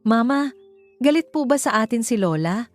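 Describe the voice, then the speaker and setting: A woman narrator puts on a small child, innocent and polite, often lifted high in pitch. It is a storybook drama part with music behind. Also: animated, normal speed, no echo, close to an everyday voice. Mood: confused.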